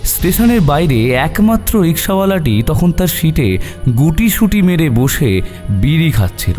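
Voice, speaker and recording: A man's voice: fairly high pitch, very animated, a little slow, a little mellow. A man narrating a scripted horror story with suspenseful, dramatic phrasing. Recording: long reverb over eerie music.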